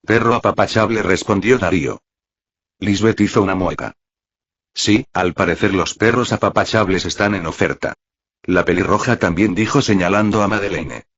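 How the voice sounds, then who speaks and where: A low-voiced man narrates a scripted story in a husky tone. He reads the dialogue in his own narrating voice, as in an audiobook. The audio is dull and lo-fi. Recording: muffled, roomy, lo-fi audio.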